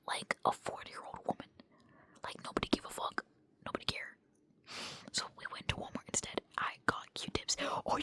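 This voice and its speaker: A young woman whispering a rambling late-night vlog into her phone at home, casual and full of swearing. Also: fairly bright tone. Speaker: vlogger.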